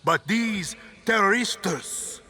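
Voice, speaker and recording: An actor playing a ruthless middle-aged Latin American dictator with a Spanish accent, speaking in a measured, theatrical way that can drop to a whisper. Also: male voice, sits high, animated, sharp tone, big-room sound, exaggerated voice. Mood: fiery rallying oratory. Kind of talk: dramatic video game acting.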